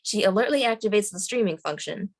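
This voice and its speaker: Female table-read narrator, medium pitch, delivering scene headings and action lines from a script. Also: animated, a little fast. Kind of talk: reading screenplay stage directions aloud.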